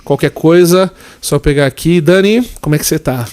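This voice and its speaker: A man hosting a podcast, introducing his guest in an effusive, chatty way. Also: on the high side. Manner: warm and effusive, quick asides. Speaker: podcast host. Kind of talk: casual interview chat.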